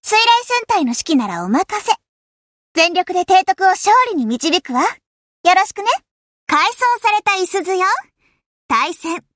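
A woman voicing a proud, confident young warship girl in an anime game, with a brisk, haughty manner. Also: very high voice, fairly bright tone, no room sound, clean studio sound, full character voice. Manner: brisk, self-assured, haughty. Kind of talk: anime game character voice lines.

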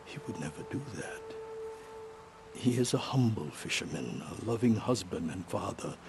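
An actor playing a middle-aged monk in a video game, gentle and deliberate, with a creaky voice. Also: male voice, sits low, expressive, background noise. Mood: concerned. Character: calm, protective middle-aged Buddhist monk. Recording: dialogue over game ambience.

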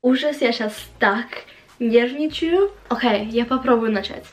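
High-voiced young woman, English-tinged Russian. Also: faint music behind. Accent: English-influenced Russian. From Latvia. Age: young adult. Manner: halting, searching for words. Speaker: vlogger. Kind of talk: casual chat to camera, answering viewer questions. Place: small home room, indoors.

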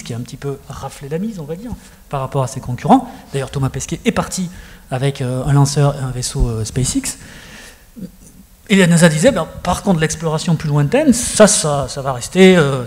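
A middle-aged man hosting a studio interview, speaking conversationally and steering the talk with questions into a handheld mic. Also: fairly high pitch. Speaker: host. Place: studio set with screens.

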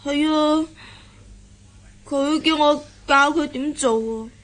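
A woman's voice: high-pitched, slow, a bit bright. An actress playing a shy, lovesick young daughter in a radio drama, hesitant and stammering as she hides her feelings. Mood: hesitant, upset. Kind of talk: Cantonese radio drama acting.

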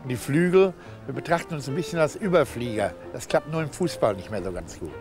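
A German man calmly explaining, with a few pauses and hesitations, in an outdoor interview. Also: mid-range voice. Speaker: showman, market organizer. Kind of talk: casual interview answers. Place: outdoor Christmas market. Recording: outdoor market with loud music. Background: music.

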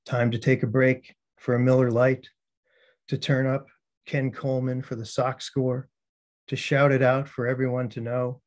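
An American man giving a poetry reading, speaking as the poet presenting his own work in deliberate phrases. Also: medium pitch, little pitch movement. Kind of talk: poetry reading with spoken introductions.

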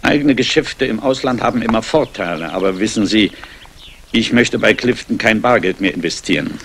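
Lively, low-voiced urbane gentleman. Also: moderate pace, a little hiss or hum. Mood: confiding. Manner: courteous, urbane. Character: genteel English aristocrat investor, courteous and guarded. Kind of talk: 1960s German crime radio play acting.

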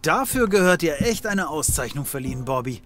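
Lively, flippant private detective. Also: male voice, fairly high pitch, a little fast, faint music behind. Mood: relieved, flippant. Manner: wisecracking, stammering when flustered. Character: flippant, excitable male occult private detective. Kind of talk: German comedy audio drama acting.

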